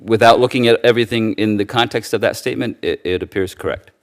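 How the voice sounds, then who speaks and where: A man giving formal testimony as a judicial nominee, answering carefully with a hesitant, halting, stammering delivery. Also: lowish voice.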